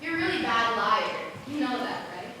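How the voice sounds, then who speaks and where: A woman's voice: a little high, lively intonation, moderate pace, far from the mic, background noise, lightly acted. A woman playing a guarded, defiant, neglected teenage schoolgirl in an amateur stage drama, recorded on an echoey stage. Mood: subdued. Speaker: actor in a school play.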